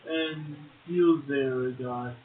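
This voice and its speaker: A man's voice: medium pitch, slow, soft background music. A man co-hosting a poetry show, making casual comments and reading short verse aloud. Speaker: poetry podcast co-host, poet. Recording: muffled, tinny phone-like audio.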